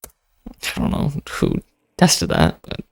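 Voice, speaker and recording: A video host giving casual reaction commentary in an informal, expressive, sweary way. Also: takes it slowly, dry recording, studio quality.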